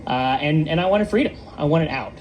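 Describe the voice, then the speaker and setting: A man tells his story earnestly, circling back with fillers as he goes. His voice sits a touch high, and faint background noise runs behind him. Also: fairly quick. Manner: earnest, with many fillers and restarts. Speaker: online business promoter. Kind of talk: personal testimony in conversation.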